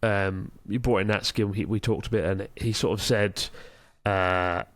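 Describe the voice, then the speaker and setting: A man talks things through on a podcast, rambling and wry, now and then swearing offhand. His voice sits fairly low. He is recorded close on a dry mic with no room sound. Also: fairly even intonation, moderate pace, dry recording. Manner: rambling, sardonic, swears casually. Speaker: podcast co-host. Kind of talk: casual podcast chat.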